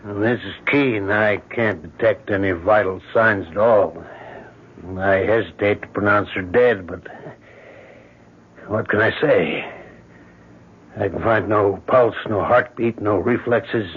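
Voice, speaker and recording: A grave male doctor in a vintage radio play. He is formal and unhurried, his low voice measured and slow, on a muffled broadcast recording. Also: breathy edge. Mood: grave, baffled. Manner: formal, deliberate. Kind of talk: 1970s radio drama acting.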